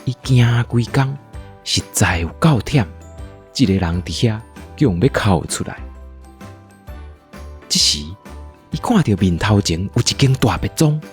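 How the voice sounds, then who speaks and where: A man with a Taiwanese accent narrating a comic radio drama in a playful, expressive storytelling style. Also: medium pitch, measured pace, pauses often, faint music behind.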